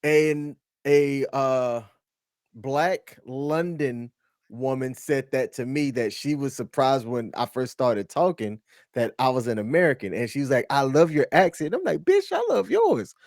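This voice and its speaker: A man co-hosting a casual reaction chat, animated and prone to loud excited bursts. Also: mid-range voice, measured pace, no room sound, studio quality. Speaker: co-host reacting to clips.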